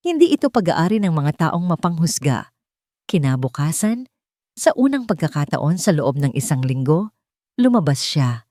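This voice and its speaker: A woman's voice: low-pitched, very animated, normal speed, mellow, no echo, studio quality. A woman reading a scripted, dramatic love story as its narrator. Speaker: narrator of romance stories.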